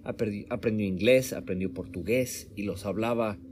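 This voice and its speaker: A man with a fairly low voice talks in a relaxed, conversational way, often correcting himself and restarting his sentences. Faint background noise sits under his voice. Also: moderate pace. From Mexico. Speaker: interviewer. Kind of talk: casual conversation. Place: home room in Sweden.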